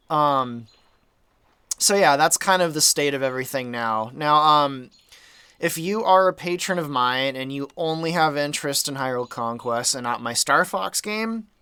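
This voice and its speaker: Bright, clear-voiced man with a sore throat. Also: medium pitch, no echo. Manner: chatty, rambling, easygoing. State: sore throat, slightly dry voice. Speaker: game modder and channel host. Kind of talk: casual development update talk.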